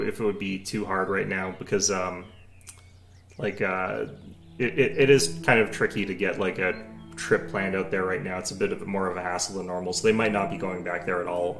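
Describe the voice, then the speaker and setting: Young male streamer, low gravelly tone, rambling casual chat, soft music bed. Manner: rambling, many fillers and restarts.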